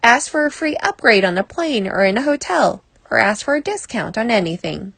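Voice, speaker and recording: A woman reading a self-help article aloud as scripted narration, in a measured, clearly phrased way. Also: fairly high pitch, sing-song, a bit bright, no echo.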